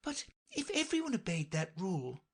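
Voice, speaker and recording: A woman's voice: mid-range voice, sing-song, moderate pace, darkish tone, pitched above the performer's own voice. A woman voicing a polite, earnest young girl with a slightly timid manner. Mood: earnest girlish.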